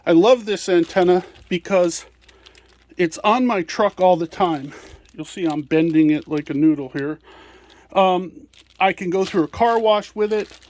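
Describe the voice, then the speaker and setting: A man presenting products in an informal step-by-step tutorial, explaining in a practical, somewhat halting way. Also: sits high, darkish tone. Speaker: product presenter and small business owner.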